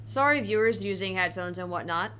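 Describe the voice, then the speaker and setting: A woman with a medium-pitched voice hosts a gaming playthrough. She talks in a casual, chatty style. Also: a little fast, very noisy. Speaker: let's play host. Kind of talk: casual chat.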